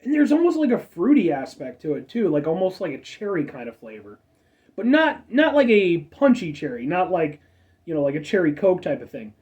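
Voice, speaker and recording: A man's voice: high-pitched, sing-song, a little fast, darkish tone, far from the mic, highs cut off. A man in his thirties hosting a casual soda review show, rambling with chatty asides and a slightly stuffed-up nose. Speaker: food review show host.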